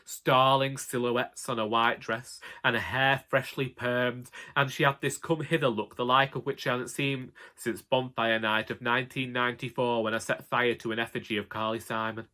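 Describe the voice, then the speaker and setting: A man's voice: medium pitch, level tone, normal speed, few pauses, pushed and bright. A man with a Northern English accent narrating a comic first-person story in a wry, colloquial way. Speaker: audiobook narrator.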